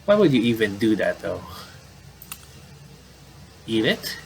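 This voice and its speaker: A man doing casual game commentary, reading on-screen dialogue aloud and adding halting asides. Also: on the low side, big pitch swings, vocal fry, slight background noise. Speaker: gamer doing playthrough commentary.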